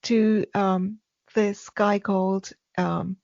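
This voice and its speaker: A soft-spoken woman being interviewed, speaking haltingly with many ums and restarts. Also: mid-range voice, fairly even intonation, vocal fry, no echo, lo-fi sound. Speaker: podcast guest. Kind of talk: casual interview conversation.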